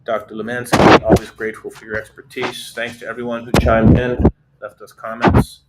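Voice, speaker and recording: A man hosting a casual webinar chat, talking in a relaxed, steady way with frequent restarts. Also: medium pitch, a little fast, very noisy. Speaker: host.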